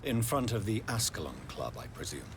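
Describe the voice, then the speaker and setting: An actor playing a grave, educated gentleman doctor turned vampire in a video game, with a British accent and a formal, guarded manner. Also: on the low side, sing-song, a little fast, background noise. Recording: loud orchestral music behind.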